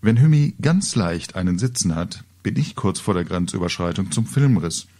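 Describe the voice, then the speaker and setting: A man's voice: low-pitched, animated, moderate pace, mellow. A middle-aged man reading a scripted humorous story in a wry, colourful storytelling manner. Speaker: author reading own story. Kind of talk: scripted humorous narration, audio-book reading.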